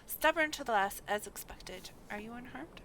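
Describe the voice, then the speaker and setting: A woman's voice: a little high, expressive, moderate pace, noisy background. A woman voicing a shrewd, composed female adventurer from a fantasy game, in formal archaic phrasing.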